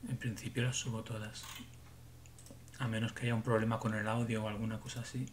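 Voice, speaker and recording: A man's voice: lowish voice, fairly quick, slight background noise. Man chatting casually on a livestream. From Barcelona. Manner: relaxed, rambling, trails off into muttering to himself. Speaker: livestream host. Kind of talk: casual livestream chat with viewers. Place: small home room indoors.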